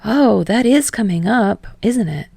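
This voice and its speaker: A woman voicing a cheerful, affectionate, excitable man in a fan fiction audiobook, with an eager, emotive delivery. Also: mid-range voice, a little slow, gravelly, breathy edge, dry recording, voice pushed up, exaggerated voice.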